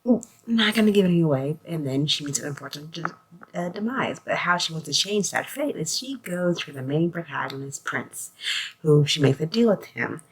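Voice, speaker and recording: A low-voiced woman talks through a casual review in a conversational, loosely phrased way. Faint noise and room ambience sit behind her voice. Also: lively intonation, normal speed, low-quality recording. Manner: conversational, loose phrasing, often saying "like" and "you know". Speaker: anime reviewer, host. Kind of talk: casual spoken review with a scripted synopsis read at the start.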